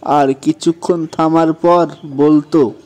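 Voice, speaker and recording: Male children's storyteller, slightly high pitch, very unhurried scripted narration. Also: fairly even intonation, darkish tone.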